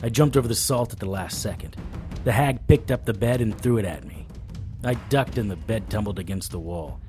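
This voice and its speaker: A man's voice: fairly low pitch, brisk, warm tone, faint music behind, lightly acted. A man playing a cocky, wisecracking supernatural investigator in an audio drama, hard-boiled in manner. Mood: tense storytelling. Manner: flippant, defiant, hard-boiled. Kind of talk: audio drama acting with first-person narration.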